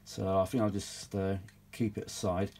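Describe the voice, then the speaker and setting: A British man with a fairly low, very mellow and relaxed voice talks off the cuff in a casual, rambling way. He sounds world-weary and grumbles as he goes, with the odd mild swear word. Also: moderate pace. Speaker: vintage audio repair hobbyist presenting his own videos. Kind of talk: casual rambling workbench chat.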